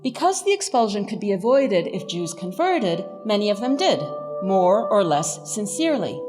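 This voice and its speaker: A woman's voice: mid-range voice, very animated, normal speed. A middle-aged woman giving a scripted lecture as a professor, articulate, emphatic and wryly humorous. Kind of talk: scripted lecture with dry humour. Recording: long hall reverb, faint music behind.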